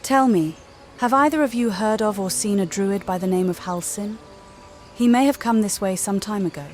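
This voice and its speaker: A woman voicing a calm, direct healer adventurer in a fantasy game, with a measured, questioning manner. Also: medium pitch, soft background music, natural voice. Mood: inquiring. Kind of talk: amateur game character voice-over.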